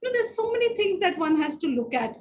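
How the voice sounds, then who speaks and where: A woman's voice: very high voice, big pitch swings, fast talker, slight background noise. A woman in a literature discussion, answering questions politely with many fillers and restarts. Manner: polite, addresses others as ma'am.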